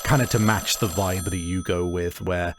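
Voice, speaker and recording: Low-voiced man running a tabletop game. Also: steady tone, normal speed, background noise. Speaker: game master. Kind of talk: improvised narration of a tabletop game.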